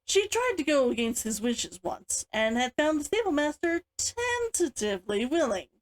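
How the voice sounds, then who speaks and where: A woman with a chirpy, expressive manner, speaking as an author hosting her own story read-along. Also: high voice, moderate pace. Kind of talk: animated chat and story read-aloud.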